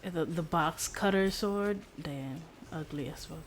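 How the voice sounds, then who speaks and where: A woman's voice: low-pitched, measured pace, a little hiss or hum. A woman streaming a game, chatting casually in a blunt, sweary way. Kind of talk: casual chat while playing a game. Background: game sounds.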